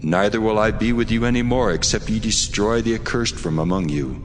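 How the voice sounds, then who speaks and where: A man playing a stern, commanding deity in a dramatized audio Bible, speaking in a measured, authoritative, solemn way. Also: fairly low pitch, natural voice. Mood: stern rebuke. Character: the Lord God, commanding stern male deity. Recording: long reverberant hall effect, music behind.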